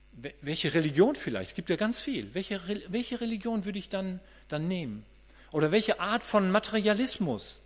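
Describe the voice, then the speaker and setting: A man in his late fifties giving a conversational, earnest sermon, often restarting and repeating words as he thinks aloud. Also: fairly high pitch. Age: 50s. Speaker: preacher. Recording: reverberant hall with mains hum.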